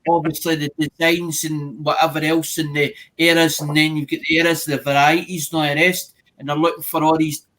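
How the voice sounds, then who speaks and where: A man's voice: on the high side, little pitch movement, fairly quick, hardly any pauses, a bit bright, rough recording. A man explaining things in a casual stream chat, losing his thread and restarting sentences.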